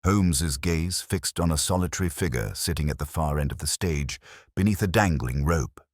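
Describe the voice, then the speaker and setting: A man narrating a dramatic mystery story in a measured, solemn manner with short, deliberate phrases. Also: extremely low pitch, dry recording, studio quality.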